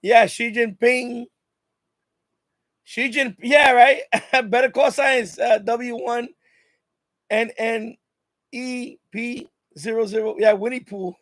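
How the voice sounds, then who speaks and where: A man's voice: very high voice, steady tone, takes it slowly, long pauses, a bit bright, no echo. A man hosting a casual live chat, rambling and often repeating himself as he talks to viewers. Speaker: livestream host.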